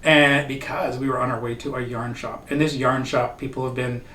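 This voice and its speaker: A man's voice: medium pitch, fairly even intonation, normal speed, bright, forceful tone. A middle-aged man co-hosting a casual chat, telling stories and laughing often. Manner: chatty storyteller, laughs often. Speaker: co-host. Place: wood-panelled cabin room, seated at table. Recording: roomy, distant, faint hiss.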